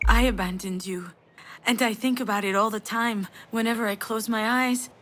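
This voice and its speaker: A woman playing a sardonic, self-blaming yet tender mother in a dramatic video game, frank and emotionally expressive. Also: fairly high pitch, moderate pace, close to an everyday voice. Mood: guilt-ridden, sorrowful. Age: adult.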